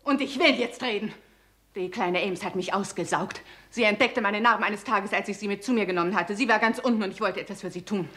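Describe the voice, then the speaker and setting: A woman's voice: mid-range voice, brisk, a bit bright, close to an everyday voice. A woman playing an embittered, weary and defiant film star confessing to murder in a 1960s German radio crime drama, with a theatrical, sarcastic edge. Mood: defiant, bitter.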